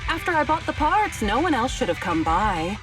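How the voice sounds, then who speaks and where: A woman's voice: a little high, big pitch swings, normal speed, far from the mic, over music, rough recording. A woman voicing a haughty, sly, easily irked cat-like villainess in an English game dub, condescending and testy. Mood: testy, dismissive.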